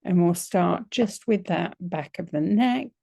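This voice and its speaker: A woman teaching yoga nidra, leading a guided relaxation in a gentle, soothing, unhurried manner with long pauses. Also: lowish voice, vocal fry, no room sound, clean studio sound.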